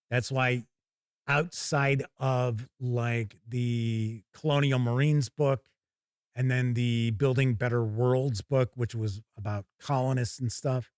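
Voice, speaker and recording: A man hosting a tabletop game show, giving casual, halting live unboxing commentary and thinking aloud. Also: mid-range voice, no room sound, studio quality.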